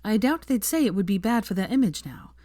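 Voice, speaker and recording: A woman's voice: medium pitch, animated, brisk, mellow, no echo, clean studio sound, pitched above the performer's own voice, natural voice. A woman voicing an assertive, bookish young witch who speaks emphatically in a storybook reading. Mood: eager, apprehensive.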